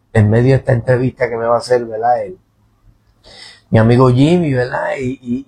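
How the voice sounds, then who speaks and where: A man's voice: medium pitch, a little mellow, slightly rough. A man, a pastor speaking as a radio guest, hesitant, repeating words and tagging "¿verdad?" onto nearly every phrase. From born in New Jersey, raised in Puerto Rico. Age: 50s. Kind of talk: radio interview, testimony. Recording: radio studio.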